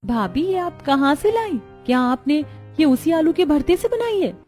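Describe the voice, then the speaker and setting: A woman acting a caring, earnest cartoon woman of a poor household. The voice is high and very mellow, speaking expressively, with music underneath. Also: sing-song, normal speed. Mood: happy, tender. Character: caring women of a poor cartoon family and neighbourhood.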